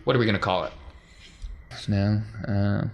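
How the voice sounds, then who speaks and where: Low-voiced man narrating a tabletop game. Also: steady tone, moderate pace, dull audio, a little hiss or hum. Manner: conversational, descriptive scene-setting. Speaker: game master.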